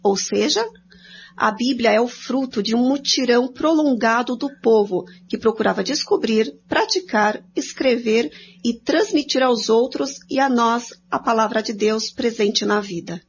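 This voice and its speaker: Higher-voiced woman reading, muffled recording. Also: a little slow. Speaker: co-presenter of a religious study programme. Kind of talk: scripted reading of explanatory commentary. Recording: dull lo-fi sound with clear noise.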